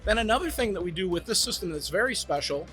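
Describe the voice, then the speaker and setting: Brief: eager salesman type, man, high bright voice, demoing gear in a show room with faint music behind. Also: fast. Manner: eager sales pitch, lively explaining. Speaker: brand representative demonstrating home theater gear. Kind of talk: product demo talk. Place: demo room at a trade show.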